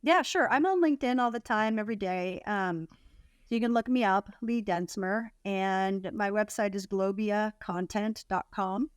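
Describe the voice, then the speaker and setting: A woman's voice: medium pitch, moderate pace, smooth, dry recording. Woman guest in a dry, close recording. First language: English. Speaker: podcast guest, content marketing consultant. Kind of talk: conversational expert interview.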